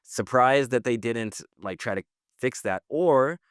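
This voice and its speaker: A man's voice: medium pitch, animated, a little slow, smooth, no echo, clean studio sound. A man co-hosting a podcast in casual conversation, giving slangy opinions and thinking aloud. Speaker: podcast co-host.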